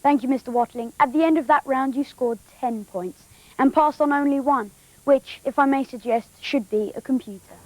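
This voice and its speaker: A woman playing a young granddaughter who acts as a brisk, stern quiz show host. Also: high-pitched, a little mellow, no room sound, low-quality recording. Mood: brisk, formal.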